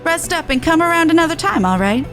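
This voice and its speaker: An earnest young tribeswoman, read by a woman for a fantasy game, speaks in a high, expressive voice. Her dutiful, resistance-veteran character comes through in animated delivery, with music playing behind. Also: fast. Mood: friendly, grateful. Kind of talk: video game dialogue read aloud.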